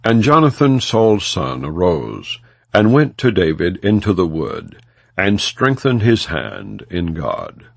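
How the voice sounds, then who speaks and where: A man reading scripture as an audiobook narrator, in a solemn, measured and formal delivery without dramatization. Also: low voice, wildly swooping pitch, creaky, dry recording. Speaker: audiobook Bible reader.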